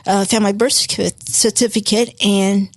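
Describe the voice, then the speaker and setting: A woman's voice: medium pitch, fairly even intonation, moderate pace, no echo. A woman in her forties, born in Vietnam, chatting as a podcast guest in a bubbly, playful way. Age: 40s. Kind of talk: casual chat.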